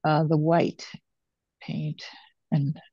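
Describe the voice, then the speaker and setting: Older lady talking thru her painting demo, lowish voice, lots of pauses, dry close muffled sound. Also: flat delivery, dark tone, no echo. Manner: unhurried, pausing between phrases while painting. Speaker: watercolor painting teacher. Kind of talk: real-time demonstration narration, thinking aloud. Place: indoors at a painting table.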